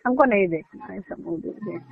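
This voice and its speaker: A woman's voice: mid-range voice, expressive, normal speed, creaky, slight background noise. A woman chatting casually on a phone call, mixing English words into her talk over a narrow, tinny line.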